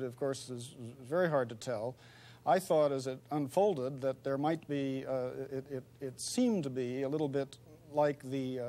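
A man's voice: medium pitch, a little mellow. Thoughtful middle-aged male academic. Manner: thoughtful, hesitant, many fillers. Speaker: academic guest. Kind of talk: studio interview answer. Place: television studio.

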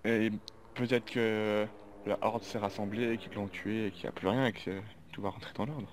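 A man's voice: lowish voice, fairly even intonation, normal speed, lightly acted. A man playing an uneasy, talkative zombie-apocalypse survivor in an improvised video game roleplay, rambling urgently in informal speech. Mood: worried. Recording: background noise under the voice.

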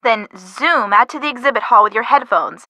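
A friendly young sidekick in a detective game, with a very bright, slightly high voice. She coaxes and advises in a casual way. The sound is close and dry. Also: moderate pace, dry recording, highs cut off. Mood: helpful, casual. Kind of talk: video game hint dialogue.

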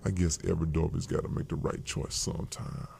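A man's voice: rumbling low, fairly even intonation, fairly quick, vocal fry, dark tone, slightly rough, full character voice. A man voicing a laid-back cartoon clothes shop keeper with folksy, slangy talk. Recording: music under cartoon playback.

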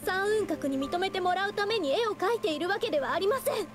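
An actress voicing a timid yet principled young female painter in an anime-style game, polite, soft-spoken and earnest. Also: extremely high pitch, lively intonation, quick pace. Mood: firm, indignant. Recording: game music behind.

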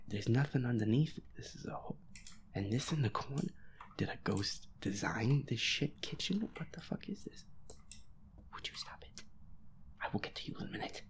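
A man muttering feisty, sweary commentary to himself as he plays a horror game, in a breathy voice. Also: medium pitch. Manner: feisty, grumbling, swears constantly. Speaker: gamer playing a horror game as audio roleplay character. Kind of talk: hushed gaming commentary, muttering to self.

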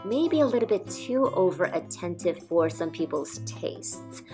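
A woman calmly narrating a restaurant food review as a voice-over, describing each dish in a measured way. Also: lowish voice, faint music behind. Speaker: food vlogger.

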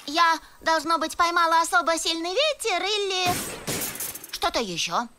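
An actress playing an anxious young pony princess in a dubbed cartoon, earnest, dutiful and a little fretful. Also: female voice, very high voice, big pitch swings, moderate pace, sharp tone, slight background noise, full character voice. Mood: flustered.

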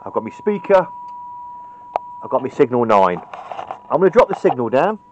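A man's voice: medium pitch, big pitch swings, moderate pace, slight background noise. A man giving an informal hands-on tutorial in a blunt, chatty, matter-of-fact manner. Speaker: hobbyist radio repairer demonstrating. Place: indoors at a cluttered workbench. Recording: dull, lo-fi camera audio.

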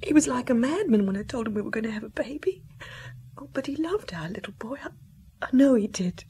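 A fragile, grieving wife in a radio play. Her voice is fairly high, breathy and tearful, and she speaks haltingly over faint noise. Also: lively intonation, dark tone. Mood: distressed. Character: grieving, bewildered working-class French wife and young mother. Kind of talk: radio drama acting.

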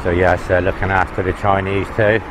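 Brief: lowish-voiced guy doing a walk-and-talk vlog, street traffic audible. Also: level tone, brisk. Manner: chatty, opinionated, talks to viewers while walking. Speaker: travel vlogger. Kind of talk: casual walk-and-talk narration. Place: outdoors on a busy city roadside. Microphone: handheld camera mic. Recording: street traffic noise behind.

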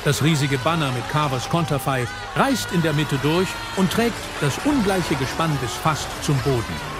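Male narrator for action audio drama: dramatic, animated, slightly high voice over loud music. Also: normal speed. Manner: dramatic, present-tense storytelling. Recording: loud film music and vehicles behind. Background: loud music, vehicle sounds.

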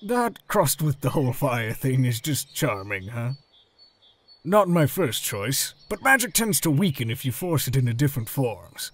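A man's voice: medium pitch, big pitch swings, unhurried. A man voicing an easygoing, reassuring goat monster father in a comic dub, casual and encouraging. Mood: wry.

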